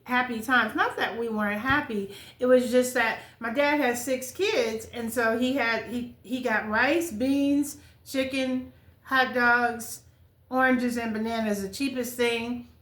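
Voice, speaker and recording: An American woman in her fifties speaks in a somewhat high voice, recorded in a noticeably echoey space. She shares stories in a candid, conversational way, often repeating a phrase for emphasis. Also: a bit bright. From California, United States. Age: 50s. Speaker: vlogger sharing life stories. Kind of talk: casual personal storytelling to camera. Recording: roomy, echoing sound.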